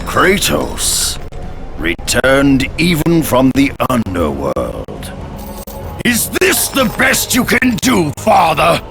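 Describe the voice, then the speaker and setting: Gravel-voiced, bombastic war god. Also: male voice, mid-range voice, huge pitch swings, over music, exaggerated voice. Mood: scornful gloating. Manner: bombastic, taunting, drawn-out declaiming. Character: male war god, booming, boastful, wrathful tyrant. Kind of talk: video game villain acting.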